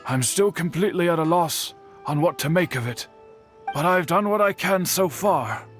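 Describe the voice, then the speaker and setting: An actor playing a courteous, solemn middle-aged swordsmith in a video game, speaking formally and earnestly. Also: male voice, a little high, lively intonation, moderate pace, faint music behind, lightly acted. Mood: troubled, resigned.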